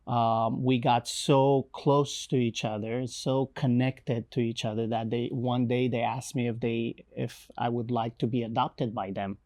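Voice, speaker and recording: A young man from Romania with a Romanian accent, telling his life story in an interview with many pauses, fillers and restarts. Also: mid-range voice, a little mellow. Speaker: missionary guest. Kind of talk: interview, personal testimony.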